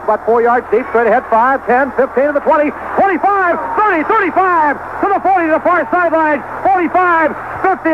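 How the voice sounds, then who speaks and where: An American man calling live football play-by-play on the radio in clipped short phrases, rising excitedly as the action develops. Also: very high voice, phone-quality sound. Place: outdoor stadium broadcast position. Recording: noisy band-limited 1970s radio broadcast.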